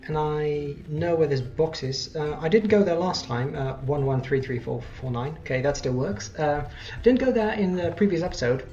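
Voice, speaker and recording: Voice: mid-range voice, normal speed, warm tone. Casting: gameplay host, casual and rambling, self-correcting, lo-fi dull mic with game music underneath. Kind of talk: casual let's play commentary.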